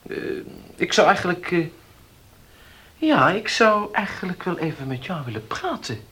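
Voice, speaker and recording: An actor in a Dutch radio play playing an evasive young gentleman, hesitant and hemming as he coaxes. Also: sits high, sing-song, normal speed, breathy edge, full character voice. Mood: polite. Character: evasive, wheedling young gentleman, master's son. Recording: noisy old radio play recording.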